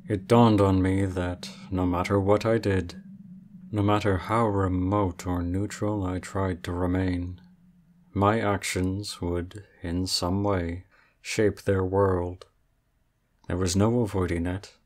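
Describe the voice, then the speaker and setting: A man voicing an ancient, aloof male dragon in human form, speaking in a formal, measured and reserved way for an ASMR roleplay. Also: sits low, flat delivery, a little mellow, a little hiss or hum. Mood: calm, aloof.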